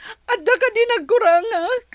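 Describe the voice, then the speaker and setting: A woman's voice: extremely high pitch, a little slow, dry recording, clean studio sound. A woman playing a heartbroken young woman in an Ilocano radio drama, speaking plaintively with a Filipino accent. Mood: pleading, upset. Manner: plaintive, emotional. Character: heartbroken young woman pleading with her boyfriend.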